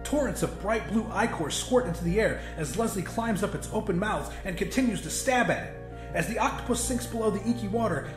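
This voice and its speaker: A man reading a first-person horror story aloud with intense, theatrical delivery. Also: sits high. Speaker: audiobook narrator. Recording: roomy echo with music behind. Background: music.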